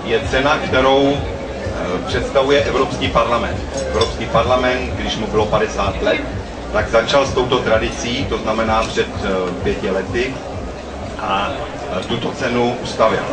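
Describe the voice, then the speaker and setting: A Czech man giving a formal ceremonial speech with deliberate, hesitant phrasing. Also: on the low side. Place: echoey venue, public address. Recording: echoey, distant, heavy noise.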